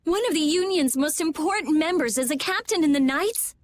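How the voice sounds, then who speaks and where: A woman's voice: high voice, animated, a little fast. A fiery young girl mage. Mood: agitated. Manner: sharp, exclaiming. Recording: game audio with loud music.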